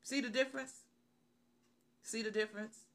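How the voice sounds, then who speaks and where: A woman's voice: a little high, steady tone, normal speed, fairly bright tone. A middle-aged woman preaching on the radio, opening with prayer and then asking rhetorical questions and repeating phrases.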